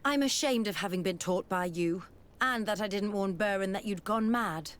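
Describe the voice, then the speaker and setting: A woman's voice: medium pitch, normal speed, natural voice. A woman playing a principled scientist in a game, speaking with firm, reproachful indignation. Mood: ashamed indignation. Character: principled female former student scientist, indignant.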